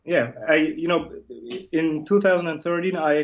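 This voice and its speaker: A man's voice: a little high, fairly even intonation, normal speed, lo-fi sound. A man in his forties who grew up in Germany, a guest talking casually and self-deprecatingly, full of uhs. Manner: self-deprecating, hesitant, many fillers. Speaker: interview guest, comic illustrator. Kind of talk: casual interview chat.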